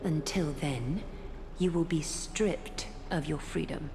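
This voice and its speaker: A woman's voice: low-pitched, slow pace, noisy background. An actress playing a cold, stern female ruler in a fantasy game, speaking with formal authority. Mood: cool, restrained. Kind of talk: dramatic fantasy game acting. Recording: long hall reverb, music behind. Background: music.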